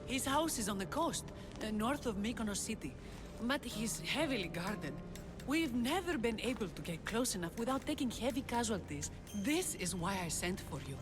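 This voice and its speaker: Kira, a fierce rebel leader. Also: female voice, a little high, very animated, normal speed, over music. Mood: urgent. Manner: sharp, teasing, commanding. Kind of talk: video game cutscene acting.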